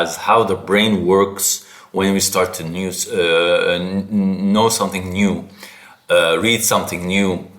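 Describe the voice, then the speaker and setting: Deep-voiced, slow-talking man, native Arabic speaker. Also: a bit bright. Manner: halting, searching for words, repeats words for emphasis. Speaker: podcast host. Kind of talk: casual solo podcast talk with tips.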